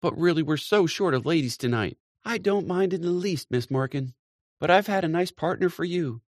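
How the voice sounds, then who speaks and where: Picture a fussy, eager hostess who chatters and gushes in a high, bright tone, put on by a man. The voice swings widely and quickly, and the recording is close and dry. It comes from a storybook reading. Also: lively intonation, no echo. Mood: gushing. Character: older woman bustling hostess, eager and gushing.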